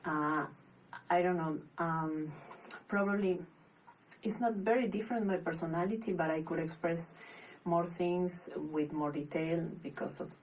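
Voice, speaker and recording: A young woman from Peru with a Peruvian Spanish accent, a podcast guest speaking English as a second language, thoughtful and pausing often to restart her phrases. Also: low-pitched, a little mellow, distant mic, a little hiss or hum, poor audio. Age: young adult. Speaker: podcast guest, master's student. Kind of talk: casual interview.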